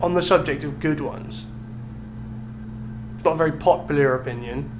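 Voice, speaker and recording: A man's voice: a little high, normal speed. A young man co-hosting a podcast, chatting casually in an informal, self-deprecating way. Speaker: podcast co-host. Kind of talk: casual chat. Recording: echoey room with background hum.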